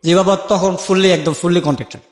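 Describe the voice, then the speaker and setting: A man's voice: high-pitched, fairly even intonation, fairly quick. A man with a Bangladeshi accent telling a personal life story conversationally, acting out remembered dialogue as he goes. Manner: acts out remembered dialogue while narrating. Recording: echoey with long reverb.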